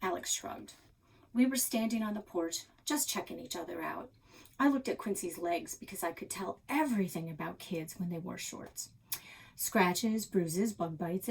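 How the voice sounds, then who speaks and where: A woman narrating a children's novel aloud in an expressive storytelling style. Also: on the low side, vocal fry, slightly rough, poor audio.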